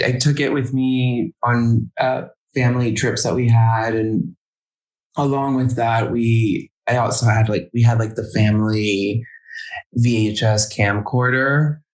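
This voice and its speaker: Brief: young male guest, mid-pitched voice, halting and stop-start delivery. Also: rough recording. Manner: hesitant, halting with many restarts. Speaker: guest photographer. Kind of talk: casual interview chat.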